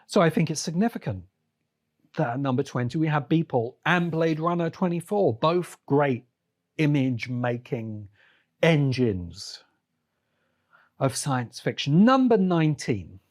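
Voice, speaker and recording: Male podcast host, slightly high voice, emphatic and deliberate, conversational solo commentary. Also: animated.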